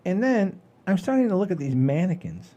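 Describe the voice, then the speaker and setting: A middle-aged or older man hosting a comedy show, telling stories casually in a rambling, animated way with salty language. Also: sits high, a little fast, highs cut off. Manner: rambling, animated storyteller with frequent swearing. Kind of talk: casual chat, storytelling.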